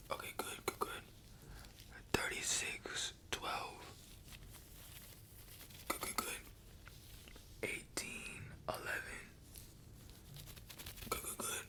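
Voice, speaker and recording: A man whispering in a breathy voice as an ASMR medical examiner, giving step-by-step instructions with soft, repeated reassurance. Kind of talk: fast whispered ASMR medical exam roleplay.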